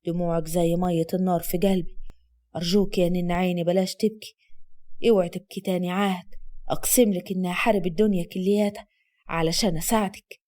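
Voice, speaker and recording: A woman voicing a stern but tender young husband, speaking low, soft and firm. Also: fairly even intonation, fast, lightly acted.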